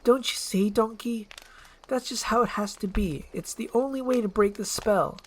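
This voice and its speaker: A woman's voice: on the high side, normal speed, a little hiss or hum, voice pushed up, lightly acted. A woman voicing an anguished young princess under a curse, earnest and pleading in a dramatic storytelling roleplay.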